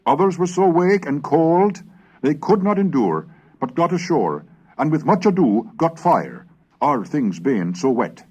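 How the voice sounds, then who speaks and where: A man reading scripted historical narration in a formal, measured, expressive manner, as the narrator of a recorded lesson. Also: on the high side, husky, dull audio.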